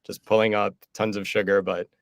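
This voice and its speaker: Man from Miami, 30s, flat low delivery, dry sound, steady guest. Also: fairly quick, vocal fry, smooth, no echo. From Miami, Florida. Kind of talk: casual interview answers.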